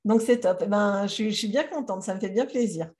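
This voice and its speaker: A woman's voice: medium pitch, brisk, a little mellow. A woman hosting a podcast, chatting casually and asking questions with many fillers. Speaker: podcast host. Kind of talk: casual interview talk.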